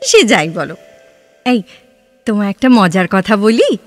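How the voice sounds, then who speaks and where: A woman playing a dreamy, playful, free-spirited young heroine in a literary audio drama, lyrical and full of dramatic pauses. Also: medium pitch, big pitch swings, dry recording, faint music behind. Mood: playful, warm. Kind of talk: Bengali audio drama dialogue.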